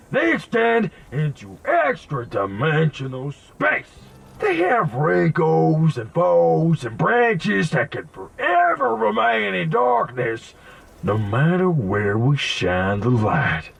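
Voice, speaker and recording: An excitable mad inventor from a video game, pitched high with wild swoops. The voice is husky and theatrical, savoring long grandiose phrases at a slow pace. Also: male voice, heavily acted. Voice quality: raspy, creaky. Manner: theatrical, verbose, bursts of emphatic exclamation. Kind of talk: video game character acting.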